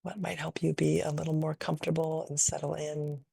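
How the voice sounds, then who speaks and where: A woman leading a guided meditation in a gentle, unhurried, invitational way, improvising softly and leaving long pauses. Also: sits low, level tone, vocal fry, husky, dry recording, clean studio sound. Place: online video call session.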